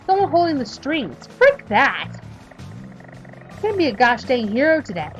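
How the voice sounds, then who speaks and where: A woman in her thirties reading a visual novel aloud and chatting about it, emphatic while reading and quiet when talking about herself. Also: high-pitched, very animated, moderate pace, muffled recording, faint music behind. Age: 30s. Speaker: let's play streamer reading a visual novel. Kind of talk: reading game text aloud and commenting.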